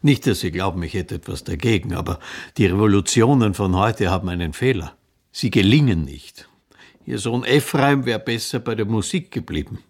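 A worldly, sceptical gentleman in a radio drama. His fairly low voice has a husky edge, and his delivery is dry and sardonic. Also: animated, normal speed. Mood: ironic. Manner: dry, ironic.

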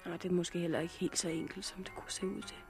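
Actress as a calm, resolute young woman. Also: fairly low pitch, soft background music, lightly acted. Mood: teasing, serious. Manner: measured, gently persuasive. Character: calm, resolute young woman, loving but firmly principled. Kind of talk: 1960s radio drama acting.

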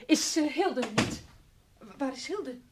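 A woman's voice: high voice, animated, takes it slowly, very noisy. An actress playing a distraught, apologetic woman in a radio play, stammering and effusive in her pleading. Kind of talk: Dutch radio drama acting.

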